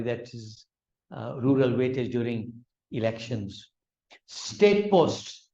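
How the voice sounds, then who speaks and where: A man's voice: a little high, a little mellow, dull audio. A man whose first language is Urdu, lecturing on law in an explanatory way with examples and frequent fillers. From Malaysia. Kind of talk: academic lecture.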